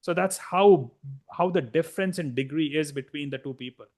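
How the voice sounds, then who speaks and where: A man's voice: on the high side, normal speed, a little mellow. A middle-aged man from India, a guest in a conversational interview, talking bluntly and emphatically with frequent restarts.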